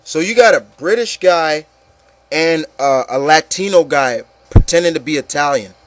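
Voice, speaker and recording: A man's voice: a little high, animated, normal speed, a little hiss or hum. A man hosting an opinion show in a casual, incredulous rant, repeating words for emphasis and swearing. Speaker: host of an opinion show on video games.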